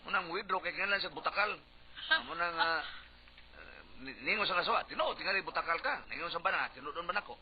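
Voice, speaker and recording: A man's voice: high voice, expressive, fast talker. A man with a Cebuano accent hosting a casual radio show, chatting with co-hosts and giving advice to listeners. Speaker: radio host. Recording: dull lo-fi radio recording, faint noise.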